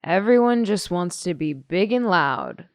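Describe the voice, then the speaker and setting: A blunt teenage loner girl, sardonic, American accent, lowish pitch, dry close sound, audio play. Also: lively intonation, unhurried, no room sound. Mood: wry, defensive. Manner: blunt, sarcastic, casual. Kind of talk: audio drama testimony, naturalistic.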